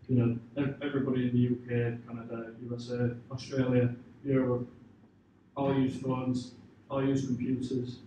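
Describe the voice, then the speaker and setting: A man answers audience questions about his business in an informal, hesitant way. His middling voice moves little in pitch. He is picked up from afar in a very echoey room with clear noise behind him. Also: dark tone, far from the mic. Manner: hesitant, informal, leans on "you know" and "sort of".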